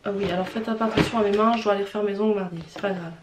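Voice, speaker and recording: A woman's voice: mid-range voice, fairly even intonation, racing. Chatty Swiss French woman with a cold. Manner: chatty, hesitant, frank, many fillers and restarts. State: stuffy from a cold. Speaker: vlogger. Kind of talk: casual unboxing and try-on chat. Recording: roomy with faint background noise.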